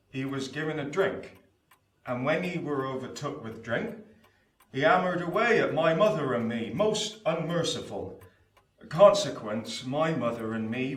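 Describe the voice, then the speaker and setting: A man playing a gentle, slow-witted, uneducated country blacksmith with halting rustic speech in a radio play. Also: a little high, reverberant, distant mic.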